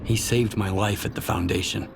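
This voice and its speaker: Earnest stalker guy in a game, gravelly, kinda low, noisy ambience behind. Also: moderate pace. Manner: earnest, questioning. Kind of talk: video game cutscene acting.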